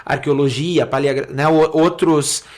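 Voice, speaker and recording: A man with a southern Brazilian accent from Rio Grande do Sul explains things conversationally as an interview guest, haltingly, repeating words and often checking for agreement. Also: on the high side, steady tone, a little mellow. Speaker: podcast guest, city councillor.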